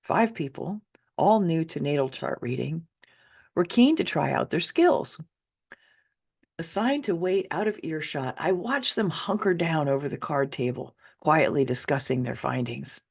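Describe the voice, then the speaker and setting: A woman's voice: low voice, animated, unhurried, creaky, slightly rough. A middle-aged woman reads her own memoir as a conversational audiobook, in a candid, wry way with dramatic emphasis. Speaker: author reading own memoir.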